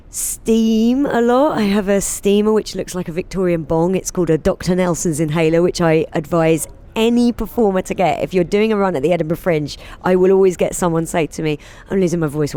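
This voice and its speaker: A woman, a comedian and singer, chatting as a guest in an interview, laughing often and going off on quick asides. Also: lowish voice, dark tone. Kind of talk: casual interview chat.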